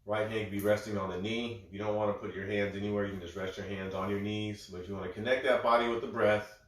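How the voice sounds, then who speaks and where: A man guiding a breathwork session in a calm, gentle, step-by-step way, a little congested. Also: fairly low pitch. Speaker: breathwork instructor. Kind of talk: guided breathing instruction. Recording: very echoey room.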